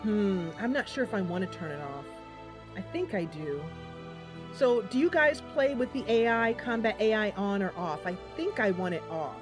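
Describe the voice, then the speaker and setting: A woman's voice: mid-range voice, big pitch swings, normal speed. A woman giving casual gameplay commentary, chatting to viewers and thinking aloud as she goes. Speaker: gaming channel host. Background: game music.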